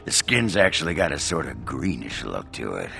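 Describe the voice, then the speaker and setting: An actor playing a gruff, gravelly male warrior who talks in a halting, sheepish grumble in a video game. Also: rumbling low, big pitch swings, bright, forceful tone, soft background music, full character voice. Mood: awkward, grumbling. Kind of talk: video game dialogue acting.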